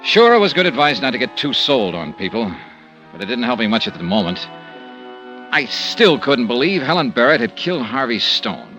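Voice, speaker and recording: A man's voice: mid-range voice, big pitch swings, normal speed, pushed and bright, rough recording. An actor playing a wry, hard-boiled male insurance investigator who narrates and questions suspects in a 1950s radio drama. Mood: dry narration. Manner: wry, clipped detective narration. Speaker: lead narrator. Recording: old radio recording with music bed.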